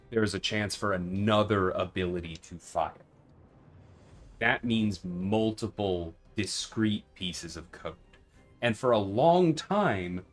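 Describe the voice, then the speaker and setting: Low-voiced man casually explaining on a livestream. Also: fairly bright tone. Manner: conversational, explanatory, often checks with "right?". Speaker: livestream host and game developer.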